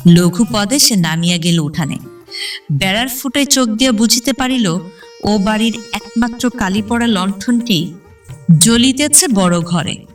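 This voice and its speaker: A woman's voice: a little high, distant mic. A woman reading literary narration in a dramatic, scripted audio-story style. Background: background instrumental music.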